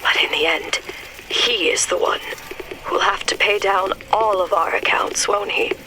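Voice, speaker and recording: Brief: woman playing betrayed, brooding game character, slow measured delivery, echoey and distant, music behind. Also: mid-range voice, whispered, lightly acted. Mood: brooding, bitter. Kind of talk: dramatic video game monologue.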